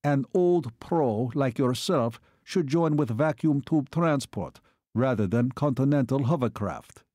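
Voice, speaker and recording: A man voicing a suave, gently menacing Hungarian military attaché in a story, formal and deliberate. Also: medium pitch, lively intonation, no echo, studio quality. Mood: smooth, knowing.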